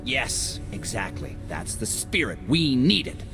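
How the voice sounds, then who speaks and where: An actor playing a chatty, cheeky, nervy forensic investigator in a video game, wry and rambling with hesitations. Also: male voice, medium pitch, big pitch swings, unhurried, loud music behind the voice. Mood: sly, coaxing. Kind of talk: video game cutscene acting.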